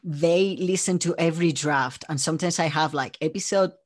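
A man chatting casually as an interview guest, telling things in an animated way by quoting himself and others. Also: a little high, normal speed. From Spain. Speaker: interview guest, audio drama creator. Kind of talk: casual chat.

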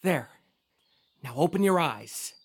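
Woman playing an earnest, low-voiced young man. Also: lively intonation, slow, darkish tone, slight background noise. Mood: calm, focused. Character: earnest, faithful young married son. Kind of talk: audio drama acting.

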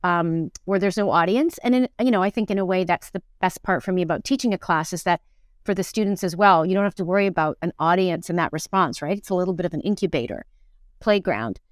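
A middle-aged woman with a smooth, clear voice that sits a little low, recorded close and dry. She talks reflectively and casually, like an interview guest thinking things through as she goes. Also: quick pace, dry recording. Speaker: guest, actor, director and acting teacher.

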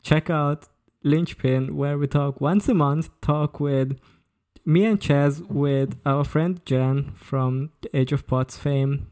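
A man's voice: on the high side, steady tone, takes it slowly, a little mellow. A man co-hosting a podcast, chatting casually with a wry, joking manner. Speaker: podcast co-host.

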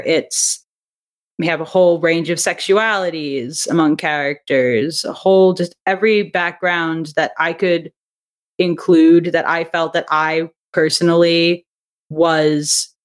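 Woman chatting casually as an interview guest. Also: deep voice. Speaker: interview guest, audio drama writer and director.

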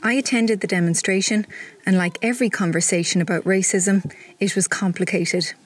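A woman hosting a news podcast, reading scripted narration in a measured, clear way. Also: medium pitch. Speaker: podcast host.

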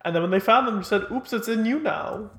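A woman's voice: a little high, fast talker, a bit bright. A woman in casual chat who slips in dry, joking remarks.

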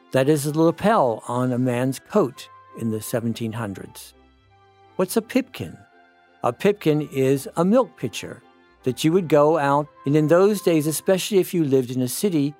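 Animated older American man, explaining. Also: a little high, moderate pace, faint music behind. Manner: enthusiastic, explanatory, emphatic. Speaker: retired priest, storyteller. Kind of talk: informal personal commentary to listeners.

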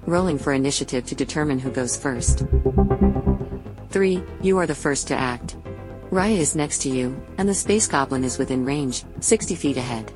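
A woman's voice: extremely low pitch, animated, normal speed, over music. A woman acting as a game system announcer, reading scripted prompts and options.

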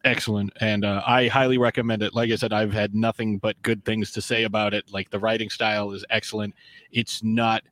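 A man's voice: fairly low pitch, little pitch movement, fairly quick, fairly bright tone, dry recording. Rambling male podcast host. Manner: rambling, lots of fillers and restarts. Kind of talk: casual interview chat.

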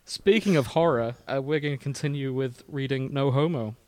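A man co-hosting a podcast, chatting casually and reading fan fiction aloud with a wry, deadpan manner. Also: mid-range voice, normal speed, dry recording. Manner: wry, deadpan, laughs at his own lines.

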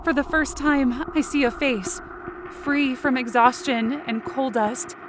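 A woman's voice: sits high, fairly even intonation, a little slow, background noise. A woman playing a frightened but determined young woman, telling a supernatural ordeal in tense, breathless first-person audio fiction. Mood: fearful awe. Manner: tense, breathless, intense present-tense storytelling. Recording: music bed under the voice. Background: dramatic music.

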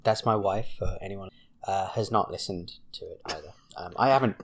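A man's voice: low-pitched, a little hiss or hum. British man, accent worn by US years. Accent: British English softened by years in the US. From Britain. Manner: self-deprecating, halting with fillers. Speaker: podcast co-host. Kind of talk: casual chat.

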